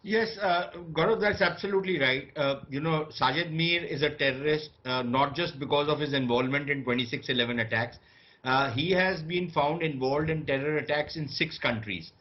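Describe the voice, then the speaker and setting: A man's voice: fairly high pitch, moderate pace, dull audio. A man with an Indian accent giving analytical debate commentary as a panelist, building his argument emphatically step by step.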